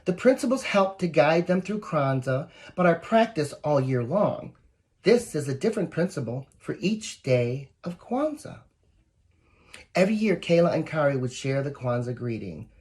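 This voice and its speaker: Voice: mid-range voice, very animated, moderate pace, a little mellow. A volunteer reading a children's picture book aloud expressively, with asides that speak straight to young listeners. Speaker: volunteer storybook reader.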